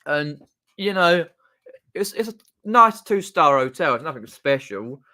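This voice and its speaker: A man chatting casually on a livestream in a rambling, halting way, often trailing off mid-sentence. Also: fairly high pitch, big pitch swings, pushed and bright. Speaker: live streamer and video channel host. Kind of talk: casual unscripted livestream chat. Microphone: desktop condenser microphone.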